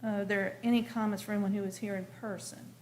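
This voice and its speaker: Female commission chair, gravelly fry, businesslike and direct, clear background noise in a meeting room. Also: mid-range voice, flat delivery, quick pace, darkish tone. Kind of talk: formal meeting procedure and questioning.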